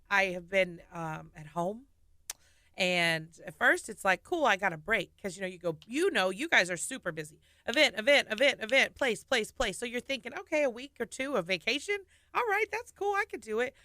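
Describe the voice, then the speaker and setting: A woman in her thirties, a singer and nurse, telling her story with lively laughs in a casual radio studio interview. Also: mid-range voice, dry recording.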